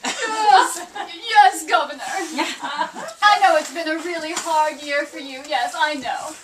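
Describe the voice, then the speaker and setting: A woman puts on a fawning orphanage matron for a puppet play. The voice is very high, hesitant and anxious to please whoever is in charge. It sounds distant, with clear background noise. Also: slow pace, fairly bright tone, reverberant. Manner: obsequious, hesitant one-sided phone chat. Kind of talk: live amateur puppet theater. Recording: distant, noisy live theater recording.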